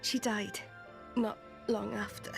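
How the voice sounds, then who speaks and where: A woman's voice: medium pitch, little pitch movement, slow pace, vocal fry, over music. A woman voicing a feisty, blunt young former noblewoman in a video game, with a cheeky, informal way of talking. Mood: wistful, sad.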